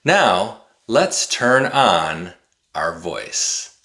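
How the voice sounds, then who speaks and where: A man in his forties giving an English pronunciation lesson to camera, enunciating deliberately and carefully like a teacher. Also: lowish voice, very animated, pushed and bright. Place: small indoor room with bookshelf.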